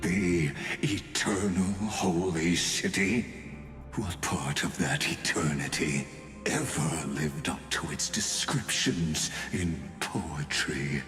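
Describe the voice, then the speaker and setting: A man's voice: a bass voice, slow, heavily acted. A man playing a brooding, scornful skeptic in a fantasy game, weighty and theatrical. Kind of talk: scripted game voice acting. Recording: echoey room, music behind.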